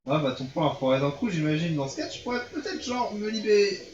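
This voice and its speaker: A man's voice: high-pitched, animated, quick pace, a little mellow, soft background music, pitched above the performer's own voice. Man as a bewildered captive guy, echoey room. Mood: baffled, incredulous. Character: bewildered, groggy male kidnap victim. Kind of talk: amateur visual novel voice acting. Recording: muffled, echoey room with noise.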